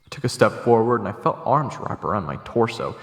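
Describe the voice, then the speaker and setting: A man narrates a scripted story in the first person. His voice is deep and gravelly. It rings with long, echoing reverb over quiet music. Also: big pitch swings, a little fast. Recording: echoey, long reverb, faint music behind.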